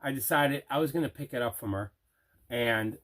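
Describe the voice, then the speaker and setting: A man chats casually as the host of an unboxing video. His voice sits in a middle pitch, and he leans on simple, emphatic, repeated phrases to show his enthusiasm. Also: moderate pace, fairly bright tone.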